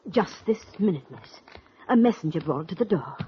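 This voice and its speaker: An actress playing a devoted, deferential housemaid in a 1940s radio drama. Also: female voice, fairly low pitch, expressive, moderate pace, slightly rough. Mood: relieved, tender. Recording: dull vintage radio recording.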